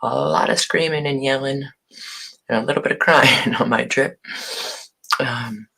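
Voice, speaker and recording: A hoarse woman who has partly lost her voice, chatting casually on a live stream and greeting viewers by name. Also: rumbling low, measured pace, bright, forceful tone. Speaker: online shop owner hosting a live video.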